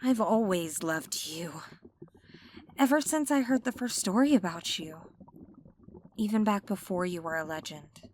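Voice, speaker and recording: A woman playing an obsessive, possessive mermaid who lures a pirate down to her undersea palace, speaking in a slow, coaxing, intimate ASMR roleplay. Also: medium pitch, a little hiss or hum, natural voice. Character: possessive, obsessive female mermaid queen, young adult.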